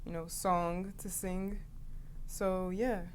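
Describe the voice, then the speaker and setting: A woman's voice: on the low side, level tone, takes it slowly, a little hiss or hum. A young American woman, a singer, answering interview questions in a chatty, easygoing way with many "you know"s. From Newark, New Jersey, USA.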